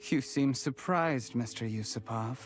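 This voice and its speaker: An actor playing an ambitious, resentful lowborn schemer in a video game, speaking in a measured, deliberate way. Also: male voice, music in the background, lightly acted. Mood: bitter. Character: bitter, ambitious, coldly scheming lowborn male political schemer.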